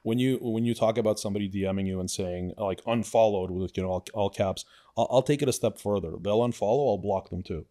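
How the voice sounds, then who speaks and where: A man's voice: on the low side, fast, warm tone. A man born in Ukraine, a guest on a podcast, talking bluntly and emphatically in casual chat. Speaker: guest, influencer.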